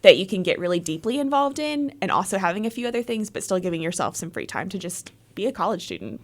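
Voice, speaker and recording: A woman's voice: mid-range voice, sing-song, quick pace. A young woman from Texas, a guest chatting casually in an interview, friendly and agreeable, with lots of "like" and "um". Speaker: guest, leadership program coordinator.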